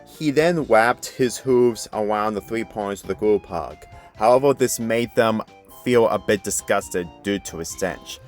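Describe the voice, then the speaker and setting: A man's voice: lowish voice, unhurried. A man narrating a fan fiction story as an amateur reader, occasionally stumbling over words. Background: music.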